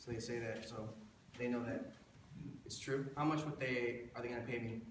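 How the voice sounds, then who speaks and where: A man's voice: medium pitch, steady tone, moderate pace. A thirty-year-old man giving a motivational presentation to an audience in a conversational way, putting rhetorical questions to listeners and repeating himself for emphasis. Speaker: motivational presenter pitching a business plan. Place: indoor room before an audience. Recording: echoey room, distant mic, faint noise.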